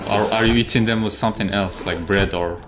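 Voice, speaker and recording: A man's voice: lowish voice, steady tone, a little fast, noisy background. A young man from Algeria streaming a game, chatting casually and answering viewers' chat questions.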